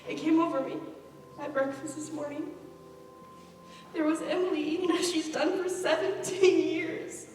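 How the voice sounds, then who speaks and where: Wistful mother of the bride, played by a woman, high voice, recorded far off in an echoey hall. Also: steady tone, moderate pace, pauses often, a little mellow, faint music behind, lightly acted. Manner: wistful, musing aloud. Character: anxious mother on her daughter's wedding day. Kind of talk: stage play acting. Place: stage in a large hall.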